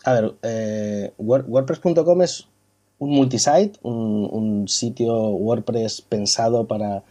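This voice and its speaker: A man's voice: fairly low pitch, slow pace, mellow. A man from Bilbao, a podcast guest explaining his work thoughtfully with some fillers. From Bilbao, Spain. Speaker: podcast guest, web project consultant. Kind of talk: casual podcast chat.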